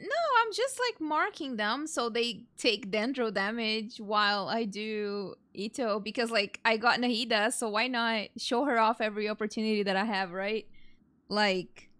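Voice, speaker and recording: A woman's voice: on the high side, fairly bright tone. A young woman giving casual, rambling, reactive let's play commentary in an informal way. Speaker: game streamer. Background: game music.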